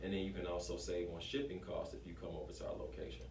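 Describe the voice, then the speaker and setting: Male interview guest, lowish voice, informal, emphatic, promotional business talk, roomy echo, off-mic. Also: level tone, fast, darkish tone. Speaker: small business owner being interviewed. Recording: echoey room, distant mic, faint noise.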